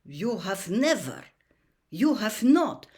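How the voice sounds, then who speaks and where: A woman's voice: medium pitch, sing-song, takes it slowly, a little mellow. A woman playing a tall, surly eighteen-year-old male sports star in a storybook, terse and formal. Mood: curt, suspicious.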